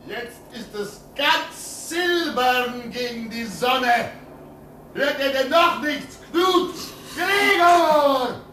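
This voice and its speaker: Old father figure at a lonely outpost: solemn, declamatory radio drama, very drawn-out, animated delivery. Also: very high-pitched, echoey room, far from the mic, a little hiss or hum, exaggerated voice, low-quality recording. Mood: excited, calling. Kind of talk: theatrical German radio play acting.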